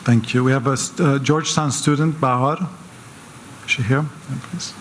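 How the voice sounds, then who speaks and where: Brief: male moderator, brisk and practical, faint room noise. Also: mid-range voice, fairly even intonation, a bit bright. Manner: brisk, calls on speakers by name. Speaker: panel moderator. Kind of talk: moderating audience questions. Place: conference hall.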